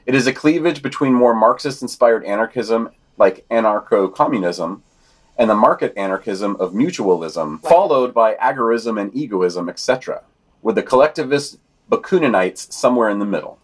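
Guy reading podcast news commentary, kinda low n raspy, sardonic and informal. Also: lively intonation, moderate pace. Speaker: podcast co-host. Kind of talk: scripted news commentary read aloud.

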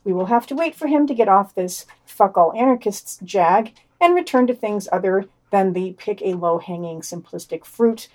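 A woman's voice: mid-range voice, expressive, normal speed, few pauses, darkish tone. A woman hosting a podcast, reading a scripted news digest with wry, emphatic, opinionated commentary. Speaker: podcast host.

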